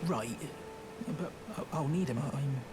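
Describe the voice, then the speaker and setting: A man's voice: mid-range voice, a little slow, background noise, lightly acted. A man voicing a timid, pitiful small outcast creature in a video game, meek, grateful and hesitant. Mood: nervous, earnest. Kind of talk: video game character acting. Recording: music behind the voice.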